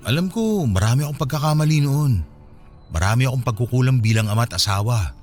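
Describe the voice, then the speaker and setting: A remorseful Filipino husband and father acted by a man in a radio drama. He is earnest and pleading, with a highly animated voice. Faint music plays behind him. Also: fast, natural voice.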